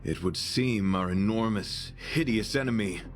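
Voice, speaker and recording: An actor playing a gallant, earnest knight in a video game, with formal, florid, melodramatic phrasing. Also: male voice, on the low side, normal speed, soft background music, close to an everyday voice. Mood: grave. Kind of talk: scripted video game acting.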